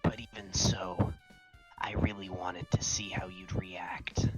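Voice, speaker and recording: A performer voicing a soft-spoken, self-deprecating young man obsessed with hope, with breathy, fawning, lingering delivery, in a fan fiction reading. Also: sits low, little pitch movement, takes it slowly, whispered, faint music behind, voice pushed down, rough recording. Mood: tender, admiring.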